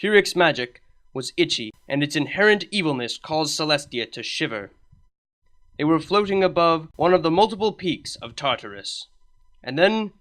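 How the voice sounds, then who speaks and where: A man reading a comedic fan story aloud, narrating with a wry, deadpan touch. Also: a little high. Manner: wry, deadpan delivery with lively emphasis. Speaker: fan fiction reader.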